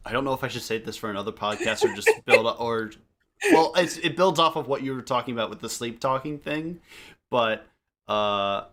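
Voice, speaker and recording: A man chatting casually on a group podcast and telling anecdotes in an animated way, prone to laughing. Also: medium pitch, fairly quick, a crowd in the background. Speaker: podcast co-host.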